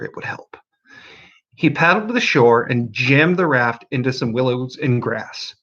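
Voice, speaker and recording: A man reading a novel aloud as an expressive narrator, with deliberate, dramatic pauses and emphasis. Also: medium pitch, breathy edge, muffled recording.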